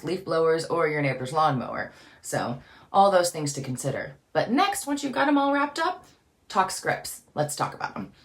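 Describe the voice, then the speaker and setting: A woman hosting her channel, giving chatty, upbeat voice-over tips in a casual teaching style. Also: sits low. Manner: upbeat, chatty, lively emphasis. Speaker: voice-over coach and channel host. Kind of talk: casual instructional talk to camera.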